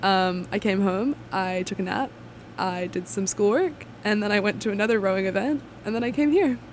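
A woman's voice: mid-range voice, normal speed, a little hiss or hum, rough recording. Friendly young woman, matter-of-fact. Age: young adult. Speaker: student radio co-host. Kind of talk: casual chat.

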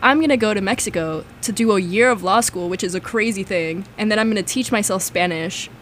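A young woman co-hosting a casual discussion, telling stories in an animated way and peppering her talk with "like". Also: medium pitch, brisk, a little hiss or hum. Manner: animated storytelling, heavy use of "like". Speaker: podcast co-host.

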